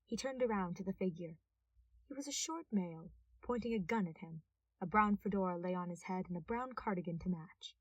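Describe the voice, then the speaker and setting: A woman's voice: on the low side, fairly quick, breathy edge, no room sound, far from the mic, highs cut off. A woman reading scripted fiction aloud as a narrator. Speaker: podfic narrator.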